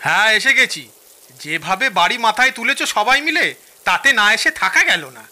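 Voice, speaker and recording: Audio drama: lively young female quiz judge, playful, medium pitch, piercing bright tone. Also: brisk. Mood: teasing. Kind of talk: Bengali audio drama dialogue.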